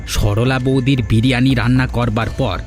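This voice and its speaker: A man's voice: medium pitch, steady tone, moderate pace, darkish tone, soft background music, close to an everyday voice. A man voicing a cunning, greedy village thief in a cartoon, conspiratorial and persuasive. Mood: scheming. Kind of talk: cartoon dialogue acting.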